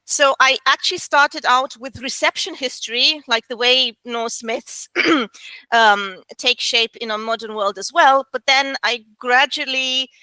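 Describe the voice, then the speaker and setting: A woman's voice: high voice, a little slow, pushed and bright, dry recording, low-quality recording. A woman in her thirties from Romania with a Romanian accent, answering interview questions as a historian, thoughtful with many fillers. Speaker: guest historian.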